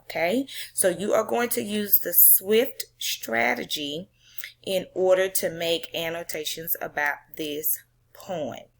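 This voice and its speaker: A woman's voice: lowish voice, gravelly. A woman teacher explaining a poem to her students, often checking in with "okay?" and "right?". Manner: reads the poem slowly with drawn-out emphasis. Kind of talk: poetry reading and classroom lesson.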